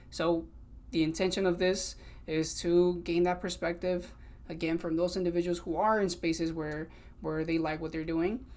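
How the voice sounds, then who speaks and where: A man in his twenties from California, also raised in Mexico, hosting a solo podcast episode in an earnest, conversational way and switching between English and Spanish. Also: on the high side. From Sacramento, California, also raised in Mexico. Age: 20s. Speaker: podcast host.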